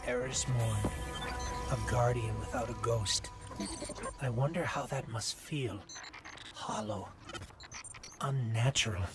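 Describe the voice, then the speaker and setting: Male small robot sidekick, earnest, uneasy, lively intonation, loud game music behind. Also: medium pitch, slow pace, long pauses. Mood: uneasy, pensive. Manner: expressive, uneasy, thoughtful. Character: small robotic companion, anxious, earnest, wondering. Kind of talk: game companion dialogue.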